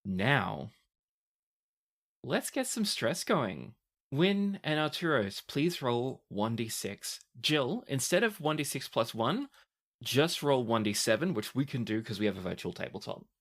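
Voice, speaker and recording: Deliberate man running a tabletop game. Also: medium pitch, big pitch swings, fairly bright tone. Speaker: game master. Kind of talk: narrating a tabletop roleplaying game.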